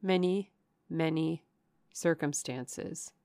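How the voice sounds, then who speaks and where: A woman's voice: medium pitch, a little slow, creaky, darkish tone, dry recording, studio quality. A woman narrator voicing a grave, caring sister in a classic novel, restrained, earnest and urging. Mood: solemn. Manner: solemn, urging.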